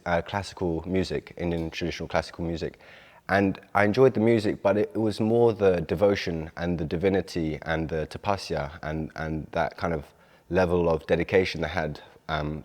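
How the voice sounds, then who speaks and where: A man's voice: deep voice, a little mellow. A man from London with an English accent, talking reflectively and earnestly as an artist in a reverberant space. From London, England. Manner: thoughtful, earnest. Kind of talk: reflective talk about own art.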